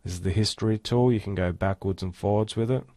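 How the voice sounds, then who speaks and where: A man giving a casual, step-by-step software walkthrough as an instructor. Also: fairly low pitch, quick pace, dry recording. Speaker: tutorial instructor. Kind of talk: screen-recorded software tutorial, casual walkthrough.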